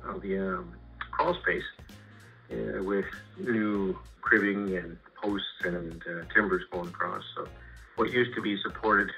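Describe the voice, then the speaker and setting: A middle-aged Canadian man chatting casually and haltingly about his projects over a muffled phone call, rambling and repeating words. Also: low voice, steady tone, gravelly, pushed and bright. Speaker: homeowner and sawmill customer. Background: music.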